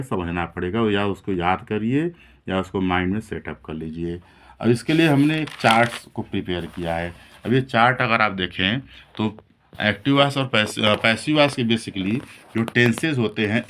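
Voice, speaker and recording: A man explaining a lesson as a teacher, in a didactic way that repeats and rephrases key points. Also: medium pitch. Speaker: English grammar teacher. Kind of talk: classroom-style lesson explanation in Hindi mixed with English terms.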